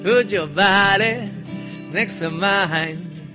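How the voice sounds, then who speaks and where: Male singer-entertainer, high-pitched, drawn-out delivery with irreverent spoken asides, live over guitar music. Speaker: musician performing and joking between lines. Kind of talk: live comic song performance with spoken asides. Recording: live concert with loud music.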